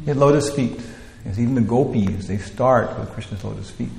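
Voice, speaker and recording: A man gives a loose, rambling talk on scripture, leaning on fillers and starting phrases over. His voice has a gravelly edge. The sound is roomy, with a long hall echo. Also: mid-range voice, moderate pace, mellow. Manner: rambling, many "you know" fillers and restarts. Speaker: teacher leading a scripture class. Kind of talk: informal spiritual lecture.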